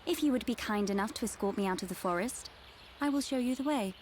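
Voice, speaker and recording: A woman's voice: fairly high pitch, quick pace, a little mellow, slight background noise, lightly acted. An actress playing a formal, haughty young noblewoman with a posh British accent in a video game. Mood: courteous, composed. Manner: formal, stately, aloof. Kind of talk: video game cutscene acting.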